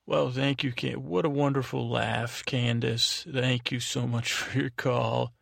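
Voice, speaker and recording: A man hosting a podcast in a casual, meandering way, halting and restarting phrases as he goes, with a creaky, gravelly voice. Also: medium pitch, little pitch movement, fairly bright tone, no room sound, studio quality. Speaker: podcast host. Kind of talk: rambling casual monologue with thank-yous.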